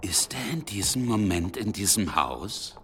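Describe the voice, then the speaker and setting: Menacing medieval leader, forceful edge, slow deliberate pace, curt commands, radio drama. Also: male voice, fairly low pitch, animated, slight background noise. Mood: cool, domineering. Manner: curt, domineering, coarse.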